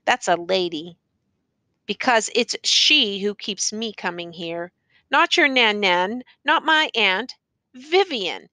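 A woman playing an earnest, exasperated male schoolteacher, bright-voiced. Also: lowish voice, dry recording. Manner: pleading, insistent, educated diction.

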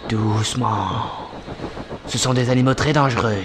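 A man's voice: medium pitch, steady tone, normal speed, background noise, pitched below the performer's own voice, natural voice. A man voicing a cocky, foul-mouthed cartoon goat hunter with a theatrical, swaggering, mock-serious delivery. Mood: hushed mock-serious. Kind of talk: cartoon mock-adventure narration in French. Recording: music behind the voice. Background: music.

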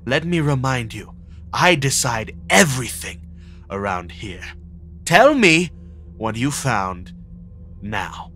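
A man's voice: mid-range voice, big pitch swings, slow pace, long pauses, bright, forceful tone, a little hiss or hum, heavily acted. A man voicing an arrogant, commanding teenage schoolboy in a comic dub, curt and cold. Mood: menacing, demanding. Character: arrogant, commanding high-ranking teenage schoolboy obsessed with hierarchy. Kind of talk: dramatic comic dub acting.

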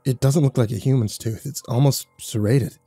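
A man voicing a calm young male friend who speaks flatly and matter-of-factly, in a spoken horror story. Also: medium pitch, normal speed, breathy edge, dry recording, faint music behind.

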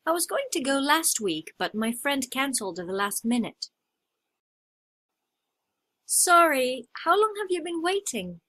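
A young adult woman acting out short scripted everyday dialogues for a listening test, with clear expressive delivery. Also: high voice, unhurried, long pauses.

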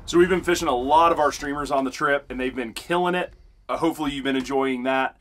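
A man's voice: medium pitch, fast talker, fairly bright tone. A man in his thirties hosting a vlog, chatting casually and informally to the camera. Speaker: vlog host. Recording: distant mic, clear background noise.

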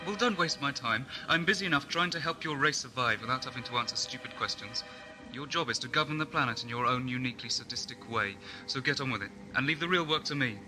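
A brusque, impatient governor in a sci-fi audio drama, played by a man. He speaks at middling pitch with curt, scornful authority, and music is very prominent behind him. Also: fast, lightly acted. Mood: irritated, scornful. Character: brusque, scheming, impatient male alien-colony governor. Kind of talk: science fiction audio drama acting.

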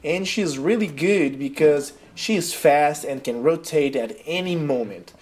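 A man's voice: fairly high pitch, measured pace, a little mellow. A man from Brazil with a Brazilian accent and Portuguese as his first language narrates a game guide in casual, enthusiastic, non-native phrasing. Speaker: video game guide creator. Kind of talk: casual tutorial narration over gameplay.

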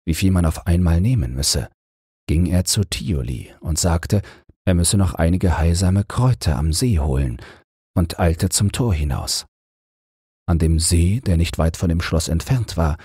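Very husky low-voiced male storyteller. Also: darkish tone, no echo, studio quality. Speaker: audiobook narrator. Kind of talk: scripted bedtime fairy tale narration.

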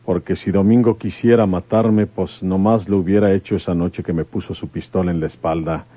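A man's voice: low-pitched, steady tone, brisk, warm tone, no room sound. An actor with a Mexican accent playing a stern, probing rural detective and father in a radio drama, with rustic, insistent speech. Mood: grave, probing. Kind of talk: old-time radio drama acting.

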